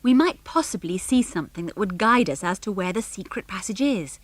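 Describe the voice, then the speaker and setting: A woman voicing a sensible boy who leads a band of child adventurers in a children's audiobook, brisk and decisive. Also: medium pitch, lively intonation, no room sound, close to an everyday voice. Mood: eager planning.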